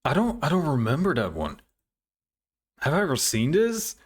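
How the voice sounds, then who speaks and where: A man's voice: a little high, huge pitch swings, moderate pace. A man hosting a reaction video, commenting casually and hesitantly with music behind him. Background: music from the game trailers.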